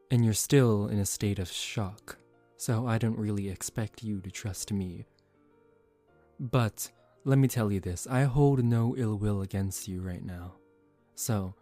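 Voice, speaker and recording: A man voicing a suave, condescending young male vampire in a soft-spoken ASMR roleplay, calm and teasing. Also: lowish voice, lightly acted. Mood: calm, coaxing. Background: relaxing background music.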